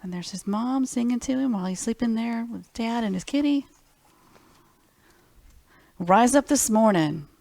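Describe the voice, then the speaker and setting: A woman's voice: fairly high pitch, expressive, measured pace, creaky, pauses often, dark tone. A woman hosting a children's library storytime, reading picture books expressively and speaking simply and directly to young listeners.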